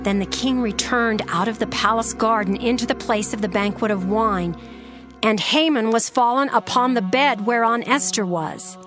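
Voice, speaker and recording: Woman narrator, formal scripture reading, measured, faint music behind. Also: on the high side.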